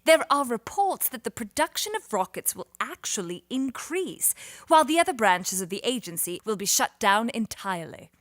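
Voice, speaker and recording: A serious american news reporter, highish voice, dry studio sound. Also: female voice, very animated, normal speed, no echo. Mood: serious, composed.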